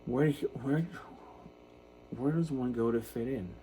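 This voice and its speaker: A man in his fifties giving an unscripted personal monologue in a halting, self-reflective way. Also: mid-range voice, warm tone. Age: 50s. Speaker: video creator talking about personal issues. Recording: noticeable background noise.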